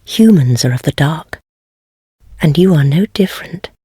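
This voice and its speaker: An actress playing a wry, self-deprecating heretic sorceress in a dark fantasy game, with a husky, breathy voice and a languid, teasing, formal manner. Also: female voice, low voice, animated, a little slow, no echo, studio quality, exaggerated voice. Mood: teasing, knowing.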